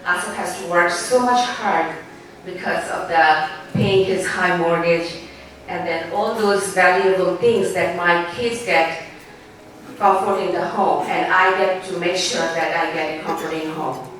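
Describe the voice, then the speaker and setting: Woman, forties, Bangladeshi accent, halting heartfelt speech, lowish voice, distant echoey hall. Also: a bit bright. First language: Bengali. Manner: halting, heartfelt, loose grammar. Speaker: newspaper editor giving a speech. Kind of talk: formal event speech. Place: large banquet hall. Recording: echoey hall, distant mic, faint room noise.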